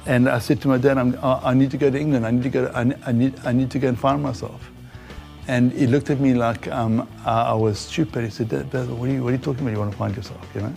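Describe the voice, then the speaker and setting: Older male, Southern African accent, reflective testimony storyteller, halting delivery, mid-pitched, music underneath. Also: fairly even intonation, gravelly, a little mellow. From Zambia. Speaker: guest giving a testimony.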